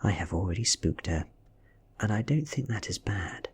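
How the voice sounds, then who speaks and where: A man with a British accent softly narrating a story in ASMR style, breathy and often near a whisper. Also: fairly low pitch, animated, normal speed. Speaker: audiobook reader.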